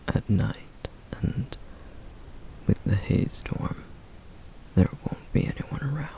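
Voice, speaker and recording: A hushed, whispering flame demon, played by a man for a fantasy ASMR roleplay. He is protective and duty-bound, with a crackling fry under the breath. He takes his time, pausing often, and his intonation moves widely as he gently guides the listener. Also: mid-range voice, heavily acted. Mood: tender, protective. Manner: slow, hushed, deliberate with long pauses.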